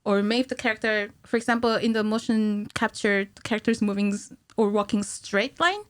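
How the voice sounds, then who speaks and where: A woman in her thirties speaking as a podcast guest, explaining technical work conversationally and a little haltingly, thinking aloud into a studio microphone. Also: mid-range voice, no echo. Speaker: podcast guest, cinematic animator. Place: small indoor podcast studio. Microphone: studio microphone on a stand.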